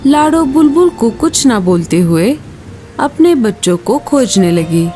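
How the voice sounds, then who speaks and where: धीमी, उतार-चढ़ाव भरी आवाज़ वाली महिला कथावाचक. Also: female voice, a little high, sing-song, a little slow, dark tone, soft background music. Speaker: storyteller. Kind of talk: scripted children's story narration.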